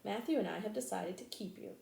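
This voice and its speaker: A woman's voice: mid-range voice, brisk, gravelly, darkish tone, reverberant, lightly acted. A woman playing a stern, practical spinster guardian in a storybook, curt and disapproving in manner. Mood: firm.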